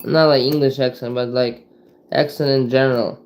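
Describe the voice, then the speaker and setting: A young man from Ukraine with a Ukrainian accent, chatting casually to viewers on a live stream, rambling with halting restarts and lots of "you know". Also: medium pitch, steady tone, slight background noise. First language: Ukrainian and Russian.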